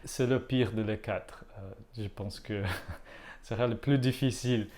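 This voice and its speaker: Low-pitched male artist, Portuguese-native, casual, hesitant delivery with lots of ums. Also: steady tone, moderate pace, music in the background. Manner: hesitant and self-deprecating, many fillers. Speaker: artist giving a channel update. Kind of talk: casual vlog update.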